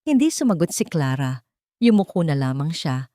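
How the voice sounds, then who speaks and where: A woman's voice: sits low, sing-song, moderate pace, dark tone, no echo, clean studio sound. A woman voicing a sweet, anxious eight-year-old boy in an audio story, speaking timidly and politely. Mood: concerned. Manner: timid, polite, childlike questioning.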